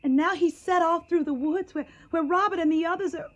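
A woman's voice: very high-pitched, a little fast, lo-fi sound. An actress playing an earnest, anxious young woman in a radio drama, pleading and hesitant. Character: earnest, anxious young Southern belle in love.

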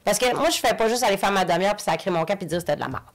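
A woman's voice: mid-range voice, animated, racing. A woman comedian from Lac-Saint-Jean with a Quebec French accent, telling stories in a chatty way and laughing often as a podcast guest. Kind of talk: casual podcast conversation.

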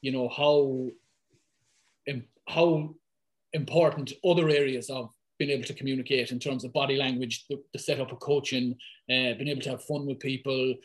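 A man in his thirties with a thick Irish accent, talking as a guest in a casual podcast conversation, lively and full of fillers. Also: mid-range voice. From west of Ireland. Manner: fast, lively talker, many fillers. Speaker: guest, football fitness coach.

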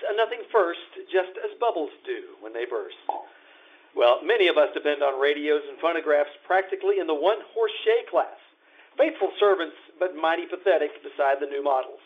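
A man's voice: fairly high pitch, close to an everyday voice. Vintage radio salesman played by a man, persuasive and polished, tinny narrow-band recording. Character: folksy, persuasive male radio advertisement pitchman. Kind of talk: 1950s radio commercial. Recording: thin, band-limited old radio sound.

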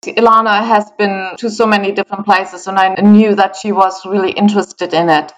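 A middle-aged woman from Germany with a German accent and German as her native language, a guest in a conversational interview, speaking in a measured, storytelling way. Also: mid-range voice, steady tone, rough recording.

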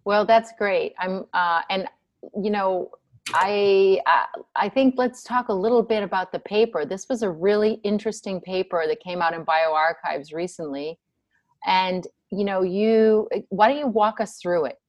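A woman's voice: mid-range voice, a little slow, dry recording. A woman hosting a podcast interview, asking questions in a hesitant way with many fillers. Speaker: podcast host.

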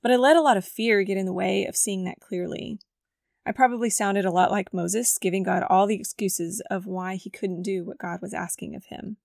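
A woman's voice: mid-range voice, steady tone, normal speed, gravelly, a little mellow, dry recording, clean studio sound. A woman with a scratchy voice hosting a faith podcast, reading her own story in an earnest, reflective manner from a script. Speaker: podcast host. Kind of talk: scripted devotional podcast narration.

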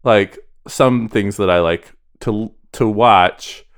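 A man's voice: on the low side, slow pace, smooth, no room sound, studio quality. A man co-hosting a podcast in casual chat, talking in a chatty, self-deprecating way. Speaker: podcast co-host.

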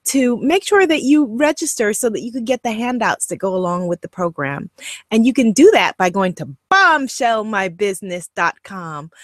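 Animated woman host with a Guyanese accent. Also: a little high, no echo, studio quality. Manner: upbeat, animated, emphatic and encouraging. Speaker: online course host and coach. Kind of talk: direct-to-camera e-course lesson.

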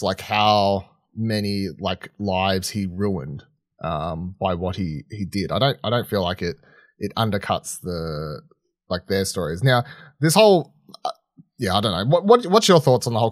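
Man, low voice, dry close sound, podcast co-host, unscripted, rambling and halting, sweary. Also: animated, no room sound. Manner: rambling, halting, self-correcting mid-sentence; casual swearing. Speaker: podcast co-host reviewing film and TV. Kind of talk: casual unscripted chat.

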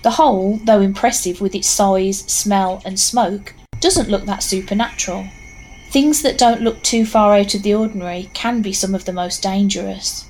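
A woman's voice: mid-range voice, fairly even intonation, normal speed, a little mellow, slight background noise, low-quality recording. A woman narrating a scripted story in a wry, conversational way with knowing asides.

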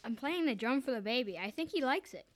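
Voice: sits high, animated, quick pace, no echo. A performer playing an eager, oblivious little boy in an amateur comic radio play, cheerfully persistent.

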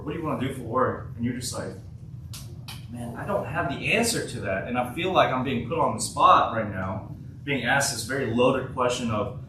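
A man in his twenties from South Korea, a native Korean speaker, talks to a youth group in a loose, chatty way and keeps checking in with them. His voice sits a little low and reaches the mic from some distance. The large hall around him rings with strong echo, and a clear room hum runs underneath. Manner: conversational, asks the audience many questions. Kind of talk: youth workshop talk. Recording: echoey hall, voice from far off.

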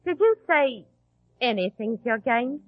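A woman's voice: fairly high pitch, animated, measured pace, dry recording. An actress playing a chummy, probing tavern girl with shady schemes in a 1940s radio drama. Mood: conspiratorial. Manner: familiar, coaxing, probing. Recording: tinny, narrow old radio recording.

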